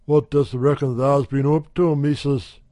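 A man voicing an easygoing working-class husband who speaks slowly in broad old dialect. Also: medium pitch, level tone, dark tone, no room sound, lightly acted.

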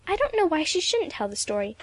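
A woman's voice: extremely high pitch, sing-song, fast talker, no echo, pitched above the performer's own voice. Woman as a stern, proud teenage girl. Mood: blunt. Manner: curt, lofty, stern.

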